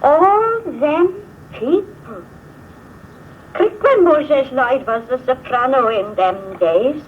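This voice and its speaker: An actress playing a nostalgic elderly village auntie with a Welsh accent, musing and rambling in homely 1950s film dialogue. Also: female voice, high-pitched, lively intonation, takes it slowly. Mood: wistful, rambling. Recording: tinny old film sound on hissy cassette.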